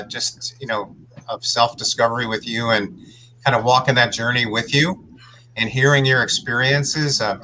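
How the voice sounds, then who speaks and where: A man's voice: mid-range voice, pushed and bright, slight background noise, rough recording. A man hosting a live interview, rambling and hedging with many fillers as he sets up his guest. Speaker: interview host.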